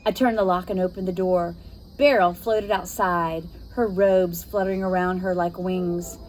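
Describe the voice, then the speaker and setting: A woman reading a spooky children's novel aloud, narrating dramatically with expressive, suspenseful, deliberate phrasing. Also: fairly low pitch, slight background noise, low-quality recording. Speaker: storybook reader.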